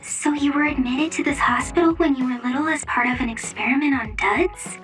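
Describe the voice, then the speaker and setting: High, slightly distant young comrade. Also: female voice, a little fast, a bit bright, soft background music. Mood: indignant sympathy. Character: compassionate young female comrade, openly emotional. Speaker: video game voice actor. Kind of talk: anime game cutscene dialogue.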